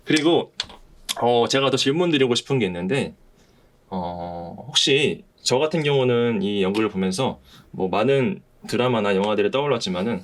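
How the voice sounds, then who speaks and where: A man hosting a podcast, talking politely and haltingly in a semi-prepared way about a play. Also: medium pitch. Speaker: podcast host.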